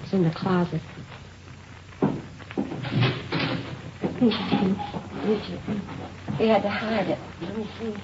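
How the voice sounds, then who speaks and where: A woman's voice: medium pitch, a little slow, long pauses, lo-fi sound, lightly acted. An actress playing a polite, worried mother in her early forties in a radio drama, hesitant and holding her anxiety in. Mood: uneasy. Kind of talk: 1950s radio drama acting. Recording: hissy, band-limited old radio recording.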